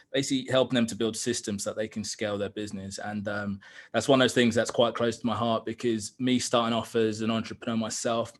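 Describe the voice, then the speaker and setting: A man in his thirties from East London with an East London accent, talking openly and reflectively as a podcast guest, with plenty of ums. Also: on the low side. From East London, England. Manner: open, reflective, lots of ums. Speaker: podcast guest, digital consultant. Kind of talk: casual interview chat.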